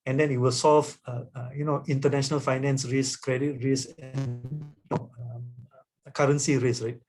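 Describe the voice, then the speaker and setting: A man speaking as a guest author at a webinar, answering an audience question in an explanatory way. He keeps checking in with his listeners by tagging "right?" onto his points. His pitch sits in the middle range. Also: flat delivery, moderate pace, a little mellow, faint music behind. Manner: explanatory, often adds "right?".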